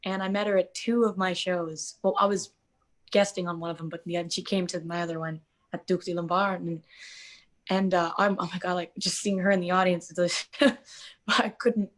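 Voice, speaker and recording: A young woman in her twenties, a guest singer in a relaxed interview. She talks in an easy, chatty way, full of "like" and "um", and often stops and starts her sentences over. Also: on the low side, moderate pace. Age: 20s. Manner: chatty, many fillers and restarts. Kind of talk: casual interview chat.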